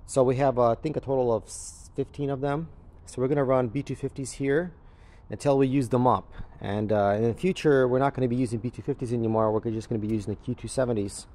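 A man's voice: medium pitch, fast, mellow. A man gives a casual, matter-of-fact, unscripted walkthrough, explaining things as he films on a handheld camera. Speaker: crypto mining farm operator giving a walkthrough. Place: large indoor warehouse with concrete floor. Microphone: handheld camera or phone held by the speaker.

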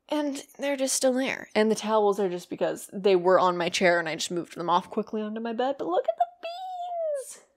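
A young woman with a slightly hoarse voice chats loosely into a home mic. She rambles along, filling gaps with ums and likes, in a mid-pitched everyday voice. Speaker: podcast host and roleplay series writer. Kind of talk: casual solo podcast chat.

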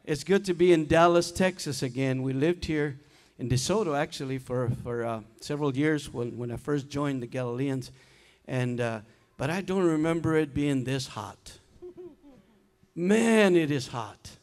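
An older man singing gospel and chatting casually from a church stage with a stand mic, his talk relaxed and full of fillers. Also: fairly high pitch, moderate pace, big-room sound. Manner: relaxed, chatty, hesitant fillers between songs. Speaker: gospel singer and keyboardist. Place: large church sanctuary stage. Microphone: stand mic at keyboard. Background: keyboard music.

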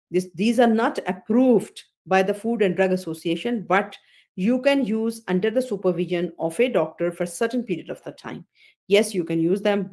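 A woman's voice: on the low side, dark tone. Woman doctor lecturing on a video call. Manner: explanatory, repeats phrases, frequent fillers and self-corrections. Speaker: OB-GYN consultant hosting a webinar. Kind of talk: webinar lecture over slides. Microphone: computer mic on a video call.

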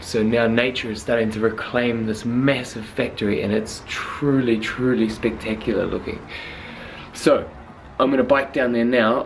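A man's voice: fairly low pitch, slight background noise. A man, talking casually and enthusiastically to a handheld camera as a travel photography vlogger. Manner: enthusiastic, informal. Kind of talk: casual vlog narration to camera. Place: outdoors and inside large abandoned factory buildings. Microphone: handheld camera at arm's length.

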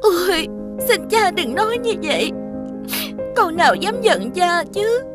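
A woman playing a gentle, devoted young princess in a cartoon fairy tale, tender, emotional and plaintive. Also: very high voice, very animated, a little slow, music in the background, heavily acted. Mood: distressed.

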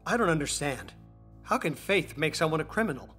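Man acting an earnest, bright-voiced husband. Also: fairly high pitch, lively intonation, fairly quick, soft background music. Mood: polite, defensive. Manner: polite but firm, defensive. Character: earnest, protective husband questioned by police. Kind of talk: dubbed stage drama acting.

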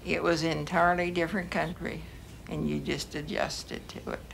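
A woman's voice: sits low, steady tone, moderate pace, gravelly. Elderly plain-spoken woman, noisy recording. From Wisconsin, United States. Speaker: farmer's wife. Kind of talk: casual interview reminiscence. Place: farmhouse kitchen.

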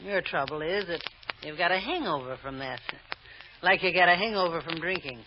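A woman acting a cold, domineering villainess in an old radio drama. She gives orders sharply and sneers at her accomplice with contempt. Her voice sits low for a woman, on a muffled recording with faint noise. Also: expressive, a little fast, close to an everyday voice. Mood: contemptuous.

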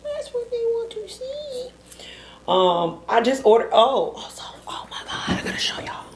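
A young woman vlogging to camera at home, gushing and excited as she talks to her viewers. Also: extremely high pitch, unhurried, roomy sound, slight background noise. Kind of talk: casual vlog talk to camera. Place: living room at home.

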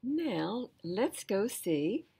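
Friendly simple-hearted storybook bear, male, earnest, slow, soft husky tone read by an older woman. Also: mid-range voice, big pitch swings, full character voice. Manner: earnest, thoughtful.

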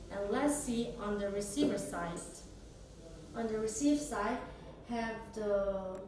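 Young woman w doing a halting product demo, super echoey room, noisy background. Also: medium pitch, darkish tone, recorded from a distance. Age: young adult. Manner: halting non-native English phrasing. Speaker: product demonstrator. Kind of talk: instructional product demonstration. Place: small indoor office or meeting room.

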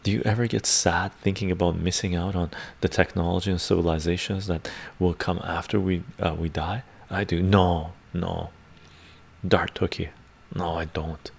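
A middle-aged man with a Canadian accent hosting a livestream, chatting casually in ASMR style in an unhurried, rambling way with frequent "right?" tags. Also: low voice, steady tone, gravelly, slightly rough. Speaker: livestream host. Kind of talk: casual ASMR chat, answering viewers. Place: small book-lined room at home.

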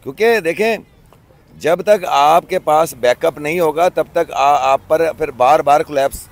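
A middle-aged club cricketer from Pakistan giving his views outdoors in a casual interview, earnest and often pausing on fillers. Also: fairly high pitch. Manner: earnest, many hesitation fillers. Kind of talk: opinionated casual talk. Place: outdoors by a park road. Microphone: handheld mic.

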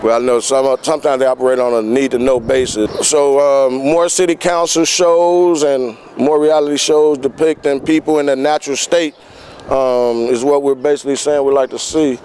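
A man's voice: medium pitch, fairly even intonation, normal speed, darkish tone. A young man hosting an outdoor student interview show on a college campus, asking questions into a handheld mic and summing up the answers. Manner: asks questions, sums up answers. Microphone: handheld stick mic. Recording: faint outdoor noise.

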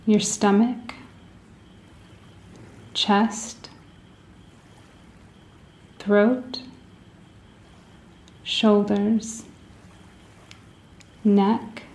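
Slow, mellow woman guiding meditation. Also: medium pitch, creaky, roomy sound. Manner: soothing, gentle, deliberate phrasing with pauses. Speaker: guided meditation leader. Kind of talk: guided meditation.

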